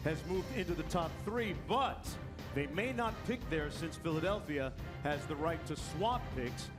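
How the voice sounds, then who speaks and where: A man commenting on a live sports broadcast, explaining in a measured way. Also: fairly high pitch, lively intonation. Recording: broadcast with loud music.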